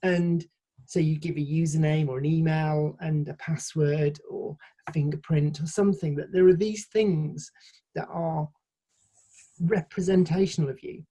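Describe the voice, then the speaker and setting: A woman from Sheffield with a somewhat low, husky voice talks through her ideas at length. She is thoughtful and conversational, often restarting her sentences as she goes. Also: fairly even intonation, a little slow, dark tone. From Sheffield, England. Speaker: podcast guest. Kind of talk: casual conversational interview.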